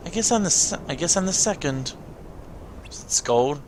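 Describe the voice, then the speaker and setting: A man's voice: a little high, expressive, moderate pace, slight background noise. A man hosting a game playthrough, reading dialogue aloud playfully with joking asides. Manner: playful, puts on character voices. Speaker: let's play host. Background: video game music.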